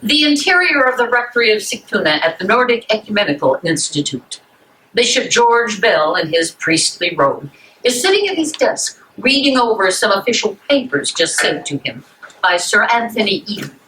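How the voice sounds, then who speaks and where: A woman's voice: mid-range voice, sing-song, normal speed, pushed and bright, poor audio. A woman narrator reading scripted stage directions at a dramatic reading.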